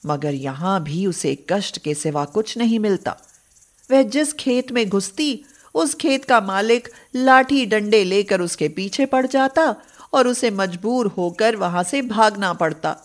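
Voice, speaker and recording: A woman narrating a scripted moral story for children as the storyteller. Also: mid-range voice.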